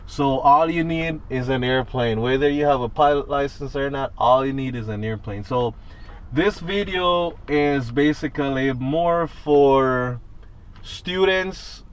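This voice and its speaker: A man's voice: medium pitch, a little slow, clean tone. A man with a Jamaican accent, a student pilot talking through a cockpit checklist in a casual instructional vlog and often asking "right?". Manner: talks through a checklist, tagging lines with "right?". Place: inside a small airplane cockpit on the ground.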